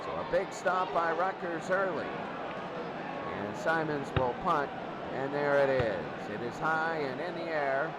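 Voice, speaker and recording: Guy calling a football game, kinda high voice, clipped repeated phrases, really noisy recording. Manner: talks in short clipped bursts, repeats himself. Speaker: play-by-play sports announcer. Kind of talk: live American football commentary. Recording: very noisy ambient amateur recording.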